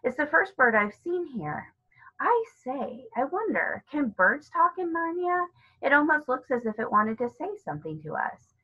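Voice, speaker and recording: A woman's voice: sits high, very animated, normal speed, sharp tone, dull audio, voice pushed up, full character voice. A woman voicing an earnest, kind-hearted young girl in a storybook, with breathless, emphatic pleading. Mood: wondering, hopeful.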